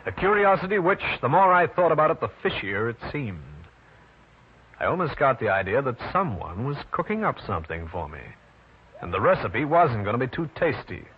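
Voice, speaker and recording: An actor with an American accent playing a wry, tough private detective in an old-time radio drama, wisecracking and hard-boiled. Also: male voice, mid-range voice, big pitch swings, moderate pace, slightly rough. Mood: wry, narrating. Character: wry tough New York private detective, adult man. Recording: dull, noisy old radio recording.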